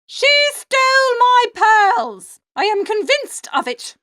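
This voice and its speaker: A haughty older aunt, acted by a woman in a comic storybook, with a high voice that swoops dramatically. She draws out her words with snobbish emphasis and flusters grandly. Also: big pitch swings, fairly bright tone, no room sound, studio quality, exaggerated voice. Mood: indignant, haughty. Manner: snobbish, emphatic, drawn-out delivery.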